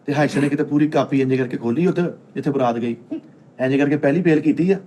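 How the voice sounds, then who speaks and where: A man's voice: medium pitch, fast, warm tone. A man with a Pakistani Punjabi accent telling funny stories in casual chat as a panellist on a comedy show. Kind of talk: animated comic storytelling in casual chat.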